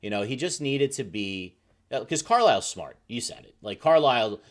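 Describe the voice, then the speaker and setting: A man's voice: mid-range voice, animated, moderate pace. A man on a sports talk panel discussing basketball casually and off the cuff, building his points step by step.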